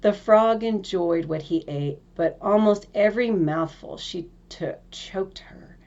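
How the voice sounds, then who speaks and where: A woman's voice: mid-range voice, slow pace, darkish tone, dull audio. A woman narrating a fairy tale from a script as a storyteller.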